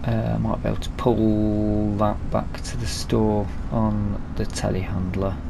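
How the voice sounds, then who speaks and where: A British man hosts a gaming let's play in an unhurried, creaky voice. He talks casually, half to himself, as he works through the game. Clear noise from game vehicles sits behind his voice. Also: lowish voice, flat delivery. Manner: muttering to himself while playing. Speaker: gaming video host.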